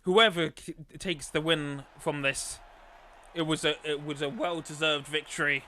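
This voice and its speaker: A man's voice: a little high, steady tone, a little slow, sharp tone, faint music behind. A man commentating a sim racing league on a live stream, chatty and rambling, losing his voice. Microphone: desktop microphone.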